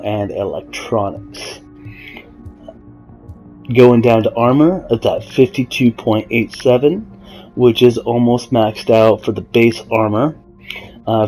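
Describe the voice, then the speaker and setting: A man giving a casual, explanatory game walkthrough in a measured, somewhat halting way. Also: mid-range voice, warm tone, low-quality recording. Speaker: gamer presenting a character build guide. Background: music.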